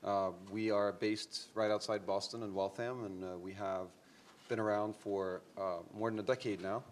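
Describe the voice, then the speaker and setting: A man's voice: fairly low pitch, steady tone, normal speed, a little hiss or hum. A man in his thirties from Norway, a startup CEO introducing himself on a conference panel, upbeat and full of ums and uhs. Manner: upbeat, hesitant with many fillers. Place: conference room.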